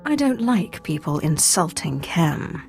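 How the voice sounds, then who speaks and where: A woman's voice: sits low, very animated, unhurried, darkish tone, soft background music, full character voice. A woman playing an arrogant Sith sorceress in a video game, proud and threatening in her delivery. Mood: menacing. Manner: haughty, threatening. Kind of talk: scripted video game dialogue.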